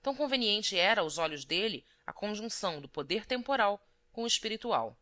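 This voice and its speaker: Bright-voiced woman narrating an audiobook. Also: medium pitch, expressive, brisk. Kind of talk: scripted literary narration.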